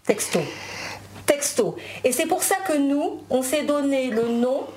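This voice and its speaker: A woman's voice: high voice, unhurried, a little mellow, a little hiss or hum. A woman whose first language is Spanish, a guest in a casual interview chat, talking with many fillers and restarts.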